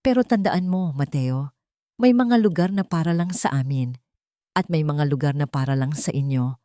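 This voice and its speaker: A woman's voice: low voice, normal speed, mellow, dry recording, clean studio sound, lightly acted. A woman voicing a cold, mocking rich young man, speaking with smug condescension.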